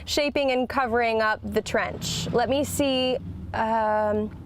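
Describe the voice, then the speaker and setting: A woman's voice: a little high, fairly even intonation, slow pace, a little hiss or hum. A woman playing a busy, plainspoken construction equipment manager, answering as the customer in a scripted business phone call. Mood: busy, businesslike. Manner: matter-of-fact, plainspoken. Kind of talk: scripted business sales call role-play.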